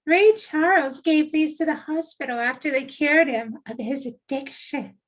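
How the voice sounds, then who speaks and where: A woman acts out a young female psychiatric patient at a script read. Her voice is extremely high and childlike. She comes across as excitable and full of open admiration. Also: a little slow. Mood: matter-of-fact. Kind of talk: live screenplay table read.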